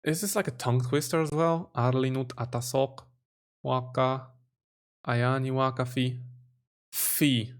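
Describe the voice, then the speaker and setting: Young guy talking himself through a language quiz, kinda hesitant, spells letters out loud, normal pitch. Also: fairly even intonation, a little slow, long pauses. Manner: muses aloud, spells out letters, hesitant guesses. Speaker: quiz channel host. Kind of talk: casual think-aloud quiz commentary.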